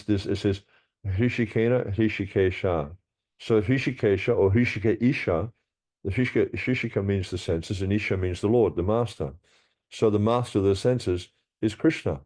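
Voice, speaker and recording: A man's voice: deep voice, dark tone. A man giving a scripture class, reading verses aloud and explaining them with frequent restarts and repeated words.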